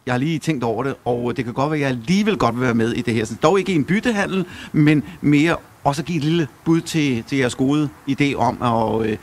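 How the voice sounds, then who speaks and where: A man's voice: mid-range voice, animated, few pauses. A man working as a roving radio co-host, doing a casual, agreeable interview on location at a market. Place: outdoor market by a shop stall. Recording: live market reporting with background noise. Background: market ambience.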